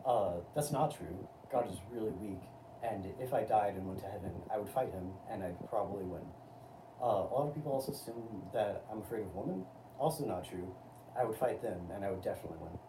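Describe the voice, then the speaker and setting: Brief: a man playing a smug slacker philosopher, lowish voice, roomy noisy room, mic set back. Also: lively intonation, brisk. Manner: laid-back, filler-heavy, boastful. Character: crude boastful male philosopher, slacker drawl. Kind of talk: amateur comedy sketch. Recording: roomy, distant, noisy home recording.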